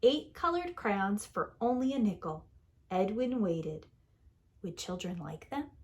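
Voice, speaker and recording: A woman reading a picture book aloud like a preschool teacher, in a deliberate, expressive storytelling manner that leans on key words. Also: mid-range voice. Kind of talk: children's story read-aloud.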